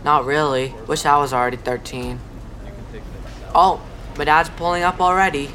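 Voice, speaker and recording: A woman's voice: low voice, moderate pace, fairly bright tone. A woman acting in an audio drama as a friendly, frank twelve-year-old boy who talks in a casual, matter-of-fact way. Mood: frank, wistful.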